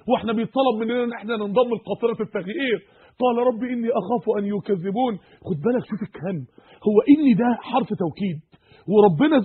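High, husky Egyptian man preaching passionately. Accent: Egyptian Arabic. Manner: emotional, exhorting, repetitive rhetorical questions. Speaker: Islamic preacher. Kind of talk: impassioned sermon with Quran quotations. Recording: tinny, phone-like narrow band.

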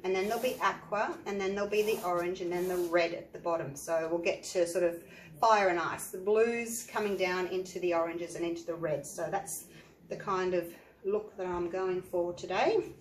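Croaky Australian woman teaching. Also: lowish voice, fairly even intonation, moderate pace. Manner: chatty, explaining step by step. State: croaky from flu. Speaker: art teacher. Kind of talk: tutorial narration. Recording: echoey room, faint noise.

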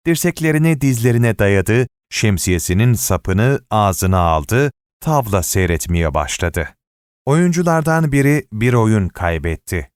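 Expressive low-voiced male storyteller. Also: dry recording, clean studio sound. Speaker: audiobook narrator. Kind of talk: scripted short story narration with acted dialogue.

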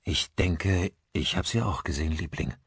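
Reassuring, rational husband read by a man, slightly husky. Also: low voice, normal speed, no room sound, studio quality, exaggerated voice. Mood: confiding. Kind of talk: dramatised audiobook dialogue.

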